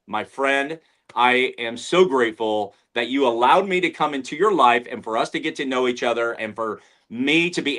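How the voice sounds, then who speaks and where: An upbeat man hosting a podcast, chatty and quick to joke. His voice sits at a middling pitch and comes through a video call. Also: fairly bright tone. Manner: upbeat, joking, effusive praise. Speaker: podcast host. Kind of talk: casual interview chat.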